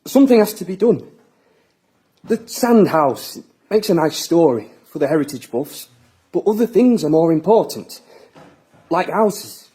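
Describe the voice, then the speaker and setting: Worried local man with bad chest, husky mellow voice, hesitant, radio play. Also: fairly high pitch, very animated, a little slow, full character voice. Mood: reluctant, defensive. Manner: hesitant, reluctant. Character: uneasy, ailing male resident living alone. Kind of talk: naturalistic audio drama acting.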